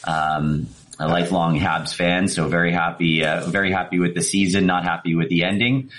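A man's voice: low-pitched, steady tone, moderate pace, a bit bright. A man in his forties from Canada, a guest in an interview, speaking hesitantly with self-deprecating asides and frequent restarts. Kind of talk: interview conversation.

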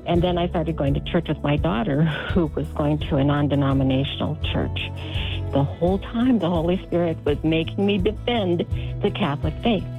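A woman's voice: sits low, normal speed, dark tone, soft background music, rough recording. A woman calling in to a radio show, telling her story candidly and poking fun at herself. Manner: candid, self-deprecating, laughs at herself. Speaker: radio caller. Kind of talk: call-in testimony.

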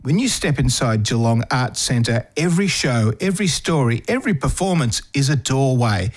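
Ad read, man, mid pitch, smooth and inviting. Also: lively intonation, normal speed, faint music behind. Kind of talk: scripted ad voice-over.

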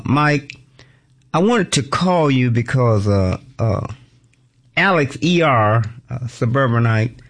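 A man hosting a talk radio show in a studio, with a squeaky voice and an exuberant, catchphrase-heavy manner. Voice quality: squeaky, high voice. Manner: exuberant, repeats catchphrases, shouts cues at the board.

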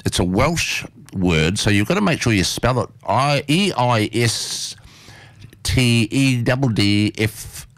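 A man's voice: medium pitch, lively intonation, slow pace, a bit bright, dry recording. A man hosting a community radio show, chatting casually and often repeating his words. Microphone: studio mic.

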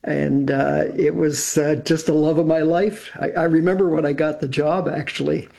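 A man's voice: on the high side, steady tone, normal speed, creaky, mellow. An older Canadian man chatting as an interview guest over a video call, rambling with frequent restarts. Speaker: guest, composer and TV producer. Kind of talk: casual interview talk.

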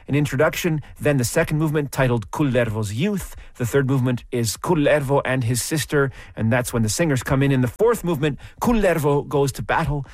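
A man hosting a classical music radio program, presenting in a measured, explanatory storytelling way from a studio microphone. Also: mid-range voice, gravelly, no room sound. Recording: dry studio sound.

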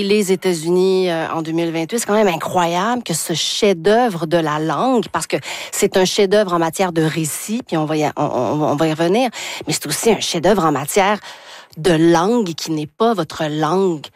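A woman's voice: on the low side, dry recording, clean studio sound. A woman with a Quebec French accent interviewing a writer in an effusive, emphatic way. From Quebec, Canada. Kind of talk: literary interview conversation.

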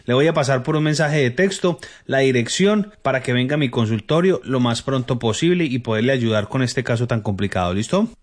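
A man's voice: mid-range voice, lively intonation, fairly quick, hardly any pauses. A man working as a radio host, card reader and healer, giving live call-in advice in a familiar, emphatic way with rhetorical questions and repetition.

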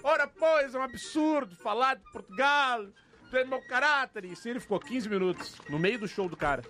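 Radio co-host, male, animated storyteller, high register. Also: moderate pace, faint music behind. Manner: animated storyteller, laughs often. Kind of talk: casual banter, telling a joke story.

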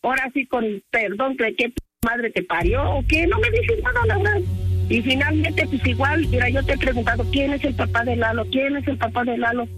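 A woman's voice: sits high, expressive, brisk, fairly bright tone, soft background music, rough recording. Insistent, scolding Mexican woman. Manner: insistent, scolding, repeating questions. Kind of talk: confrontational phone questioning.

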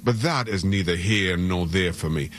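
A man with a fairly low voice gives soccer analysis on a radio show. He is middle-aged and from Trinidad and Tobago. He speaks earnestly and stumbles over repeated words. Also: normal speed, fairly bright tone, slight background noise. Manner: earnest, frequent stammered repeats.

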